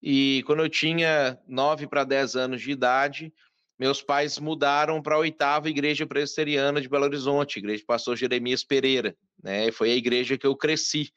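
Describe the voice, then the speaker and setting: A man in his thirties from Minas Gerais, a pastor and guest, telling his story in a measured, earnest way with frequent restarts. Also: medium pitch, fairly even intonation, fairly bright tone, clear, resonant tone, dry recording, highs cut off. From Brazil, Minas Gerais. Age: 30s.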